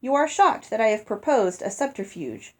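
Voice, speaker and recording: A woman's voice: on the high side, animated, moderate pace, darkish tone. A woman reading the storybook letter of a polite, curious young girl. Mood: playful, coaxing. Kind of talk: storybook letter read aloud.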